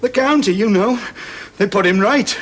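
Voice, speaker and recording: An actor playing an uneasy, simple country man with a halting, defensive way of talking, in a 1970s television drama. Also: sits high, a bit bright. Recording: old TV soundtrack, faint noise.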